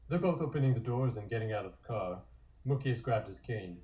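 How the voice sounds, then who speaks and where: A man's voice: fairly low pitch, animated, fairly quick, lo-fi sound. A man narrating scripted scenes in the present tense for a comic table read.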